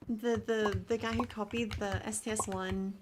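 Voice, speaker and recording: A woman with an Australian accent chats easily on stream, drifting between thoughts with frequent laughs and fillers. Her pitch sits mid-range. A steady hiss runs under the recording. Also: normal speed. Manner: laughs often, relaxed rambling with fillers. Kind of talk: casual chat.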